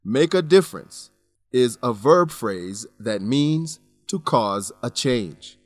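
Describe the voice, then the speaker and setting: A male narrator teaching English vocabulary from a script, with a medium-pitched voice and deliberate pauses. The recording is dry and close, with some music behind him. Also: lively intonation, dry recording.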